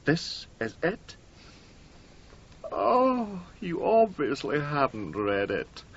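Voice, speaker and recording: High-voiced, scheming office rival. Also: male voice, huge pitch swings, drawn-out words, heavily acted. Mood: demanding. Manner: sneering, insistent. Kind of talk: radio drama acting. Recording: dull 1980s radio broadcast.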